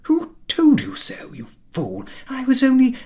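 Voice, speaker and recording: A man voicing Ahiniv, a nervous middle-aged host who frets about gossip, in breathy anxious whispers that squeak up high when upset. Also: wildly swooping pitch, a little slow, a little mellow, full character voice. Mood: flustered.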